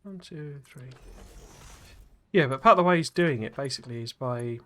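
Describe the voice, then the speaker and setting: Man, live game stream, rambling chatter, many fillers, slightly gruff voice, medium pitch. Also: expressive, moderate pace, a bit bright, slight background noise. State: slightly gruff after a cold. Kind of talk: casual live-stream chat while playing.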